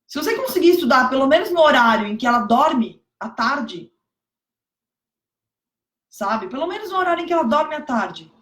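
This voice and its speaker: A hoarse woman teaching exam preparation on a live stream, emphatic, repeating key points and checking that viewers follow. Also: high-pitched, very animated, normal speed, long pauses, fairly bright tone. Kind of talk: motivational live stream talk. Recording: echoey room.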